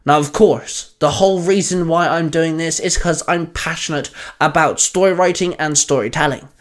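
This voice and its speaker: Male YouTube story-channel host, pitch a little above average, presenting an outro. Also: normal speed. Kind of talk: YouTube outro address to listeners.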